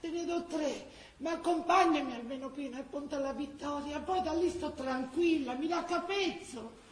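A woman's voice: high-pitched, moderate pace, roomy sound, natural voice. An actress playing a frightened, pleading, earthy displaced countrywoman in a radio drama, with a plaintive, agitated delivery. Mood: coaxing. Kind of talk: radio theatre acting.

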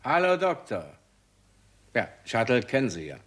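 A man acting a smooth-talking, menacing Hollywood studio boss in a 1960s German radio crime play, mockingly polite and drawling. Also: mid-range voice, sing-song, moderate pace, fairly bright tone.

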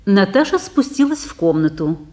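A woman's voice: medium pitch, very animated, moderate pace, warm tone. A woman narrator giving scripted narration of a play's stage directions.

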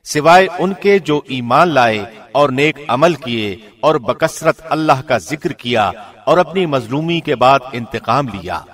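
A man reads scripture translation formally, like a radio presenter. His mid-pitched voice moves widely up and down within each sentence, and a long reverb trails his words. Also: expressive, fairly bright tone.

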